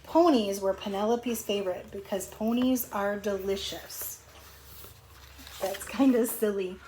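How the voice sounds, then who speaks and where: A woman's voice: medium pitch, a little slow, dark tone, slight background noise, poor audio. A woman teacher reading a storybook aloud to young children, expressively, with conversational asides and questions.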